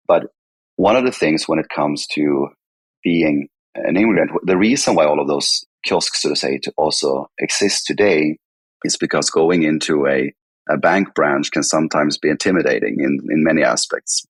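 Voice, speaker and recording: Very deep, husky Swedish man. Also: dry recording. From northern Sweden. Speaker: podcast guest, company chief executive. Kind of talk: conversational interview answers.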